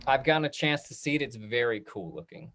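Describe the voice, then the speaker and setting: Halting, encouraging man hosting online. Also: mid-range voice, expressive, a bit bright, a little hiss or hum. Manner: halting, filler-heavy, friendly and encouraging. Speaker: host and online programming director of a theater company. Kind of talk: casual video-call talk-back hosting. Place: online video call.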